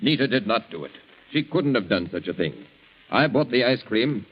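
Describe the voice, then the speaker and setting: A man's voice: mid-range voice, expressive, moderate pace, close to an everyday voice. An actor playing a protective husband earnestly protesting his innocence in a 1940s radio melodrama. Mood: protective, firm. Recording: dull 1940s radio recording.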